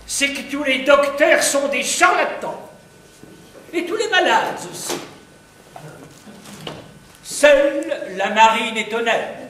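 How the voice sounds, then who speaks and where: A smug, opinionated English husband, played by a man on a live stage. His voice is unusually high and swoops, and he speaks slowly. The recording is echoey and picked up from a distance. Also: lively intonation, bright, forceful tone, slight background noise, exaggerated voice. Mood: pompous. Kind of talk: amateur stage acting. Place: theatre stage before an audience. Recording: echoey hall, heard from a distance.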